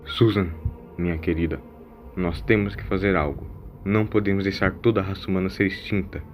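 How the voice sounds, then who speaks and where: A man's voice: sits low, fairly even intonation, brisk, soft background music, lightly acted. A man playing a fond, troubled grandfatherly time traveller in an audio drama, anxious to help. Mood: worried, tender.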